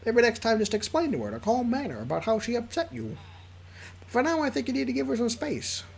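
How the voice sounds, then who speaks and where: A streamer voicing a cheerful, supportive young male friend in a squeaky, raised voice, giving upbeat advice. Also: sing-song, fast, gravelly, faint music behind. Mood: encouraging. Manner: upbeat, reassuring, chatty advice.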